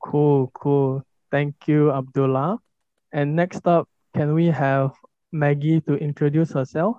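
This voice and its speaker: A man's voice: a little high, fairly even intonation, slow, smooth, no room sound. A young man hosting a podcast, giving formal, orderly introductions and questions. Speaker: podcast host. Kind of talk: scripted podcast moderating. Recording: dull lo-fi recording.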